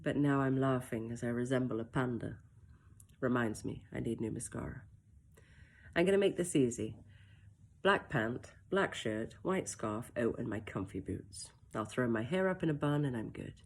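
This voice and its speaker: Woman author reading her own first-person story, close mic, soft and reflective, with wry asides. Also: extremely low pitch, expressive, normal speed, slight background noise. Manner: soft, emotional and reflective, with brief self-mocking asides.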